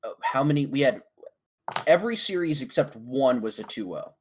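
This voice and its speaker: A man hosting a casual esports podcast, talking in rambling, halting sentences with many restarts. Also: mid-range voice, animated, slight background noise. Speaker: host.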